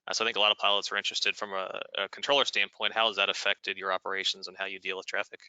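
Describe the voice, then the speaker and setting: A man's voice: low voice, monotone, rapid-fire, pushed and bright, clean tone, no echo, poor audio. A man hosting an informal question-and-answer session, moderating and relaying questions. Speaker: webinar host, moderator.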